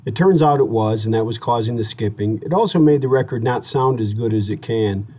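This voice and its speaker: Mellow-voiced man giving a folksy demo. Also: mid-range voice, fairly quick. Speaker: video host and radio restorer. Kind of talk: casual hands-on demo narration.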